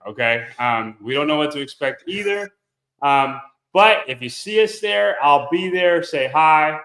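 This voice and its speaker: A man's voice: a little high, lively intonation, a little slow, a bit bright, roomy sound, low-quality recording. A man co-hosting a casual podcast chat, sounding congested as he gets over a cold. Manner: laughing, loose, rambling. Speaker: podcast co-host.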